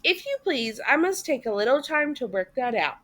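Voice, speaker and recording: Lady doing a puffed up storybook toad, excitable and self-important, voice leaps way up high. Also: normal speed, heavily acted. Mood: haggling. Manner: exclamatory, self-important, haggling. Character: boastful, excitable, conceited male toad from a storybook.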